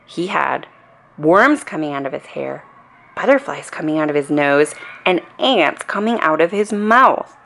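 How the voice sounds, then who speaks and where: A woman reads a children's bedtime story at a slow, careful pace. Her fairly low voice swings widely in pitch, with dramatic emphasis meant for young listeners. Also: expressive, creaky, a bit bright, slight background noise. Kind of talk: animated bedtime story read-aloud.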